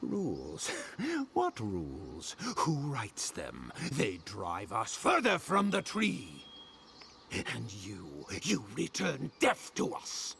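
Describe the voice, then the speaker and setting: Video game madman: strained and gravelly, forceful, menacing, theatrical ravings. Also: fairly low pitch, huge pitch swings, slow, a little hiss or hum, exaggerated voice. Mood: enraged. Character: big deranged, hostile, plague-maddened man. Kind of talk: video game character acting.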